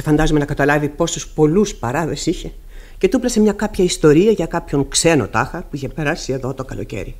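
Stern widowed mother, tragic radio theatre, grave measured delivery, bitter but controlled. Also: low-pitched, a little mellow. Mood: cold candour. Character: stern, embittered widowed mother in Ibsen tragedy. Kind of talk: classic Greek radio theatre acting.

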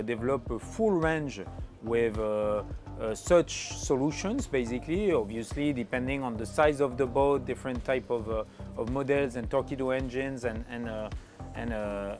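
A man in his forties interviewed inside a boat on a lapel mic, talking fluently and upbeat with many fillers. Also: medium pitch. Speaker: boat brand manager interviewed. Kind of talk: interview answers.

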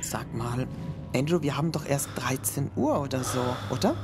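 Audio drama companion role: uneasy young male student, acted by a man, medium pitch, clear background music. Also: expressive, moderate pace, close to an everyday voice. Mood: puzzled. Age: young adult. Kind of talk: German horror radio play acting.

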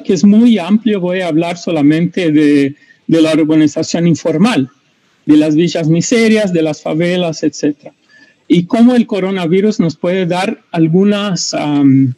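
A man's voice: high voice, mellow, low-quality recording. A middle-aged Brazilian man, a native Portuguese speaker, giving a panel talk in Spanish over a video call, hesitant and repeating words as he searches for them. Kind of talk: online conference talk.

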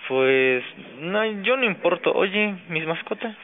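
A Mexican man talking informally on a phone call, slangy, halting and resigned. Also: high-pitched, expressive. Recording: noisy, narrow-band phone call.

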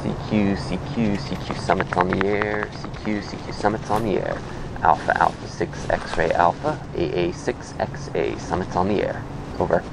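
A man's voice: deep voice, normal speed, creaky, background noise. An American man working as an amateur radio operator, making repetitive, procedural calls with spelled-out call signs. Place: outdoors on a hilltop.

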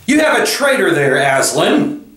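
Storybook villain: cruel witch queen played by a man, high shrill voice, imperious declaiming, slightly distant mic. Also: sing-song, unhurried, reverberant, heavily acted. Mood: accusing. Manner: haughty, forceful declaiming.

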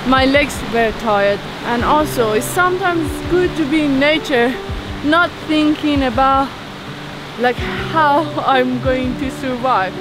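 Travel vlogger, woman, outdoors on a trail, slow and upbeat, music bed behind. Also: high-pitched, poor audio. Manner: enthusiastic, exclaims at views. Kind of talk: casual vlog narration while hiking.

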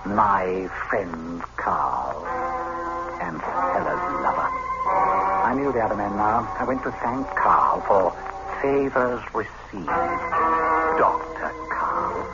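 Slow, brooding jealous husband. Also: male voice, fairly low pitch, big pitch swings, full character voice. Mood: brooding, bitter. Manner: theatrical, sardonic. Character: jealous, brooding husband narrating his revenge, bitter and suspicious. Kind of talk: old-time radio drama acting, first-person narration and dialogue. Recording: muffled vintage radio recording, loud music.

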